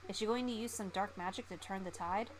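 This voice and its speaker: A woman's voice: medium pitch, quick pace, soft background music. A woman streamer reading a visual novel game aloud in a theatrical, playful narrating style.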